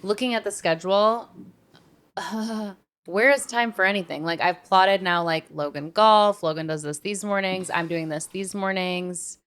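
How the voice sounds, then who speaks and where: A woman co-hosting a podcast, chatting in an informal, slangy way and thinking out loud as she talks. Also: medium pitch, a little slow, smooth. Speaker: podcast co-host. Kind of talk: casual podcast chat.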